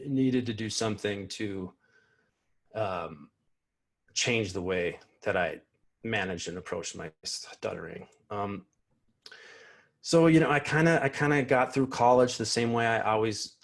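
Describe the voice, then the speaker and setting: A man in his thirties who stutters gives a personal keynote talk over a video call from a small room at home, slow, earnest and reflective, often restarting his words. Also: on the low side. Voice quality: stutters, with repeated sounds and blocks. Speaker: keynote speaker. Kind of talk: personal talk at an online convention. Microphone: earphone mic on a video call.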